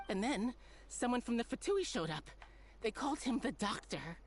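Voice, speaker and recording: A woman's voice: fairly high pitch, sing-song, normal speed, slight background noise. An actress playing a frightened captive in a video game, hesitant but earnest as she explains. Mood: resigned. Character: frightened female captive confessing a secret plot.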